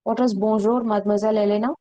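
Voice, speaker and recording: A woman teaching a French class, slowly repeating phrases and checking that students follow. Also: medium pitch, steady tone, dark tone, lo-fi sound. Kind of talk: online language class.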